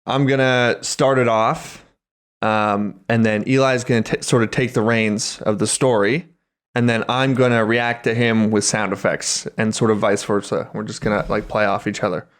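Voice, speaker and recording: A man chatting casually as a podcast co-host, halting and given to swearing. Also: lowish voice, steady tone. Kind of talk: casual chat.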